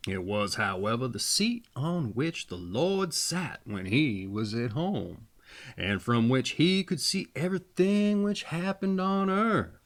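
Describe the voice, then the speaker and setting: A man's voice: medium pitch, lively intonation, slow. A man reading fairy tales expressively as a storyteller, with folksy, theatrical phrasing and casual asides.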